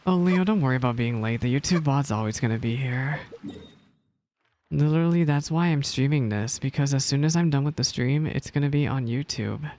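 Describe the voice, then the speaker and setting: Low-pitched woman streaming, chatty and exclamatory. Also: brisk, dark tone. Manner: chatty, self-deprecating, exclamatory. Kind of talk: casual live game reaction commentary.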